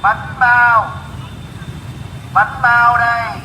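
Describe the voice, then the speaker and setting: A man's voice: very high-pitched, very slow, bright, forceful tone, husky, rough recording. A man calling out his wares as a street vendor, chanting and repeating short cries. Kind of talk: sing-song street vendor calls.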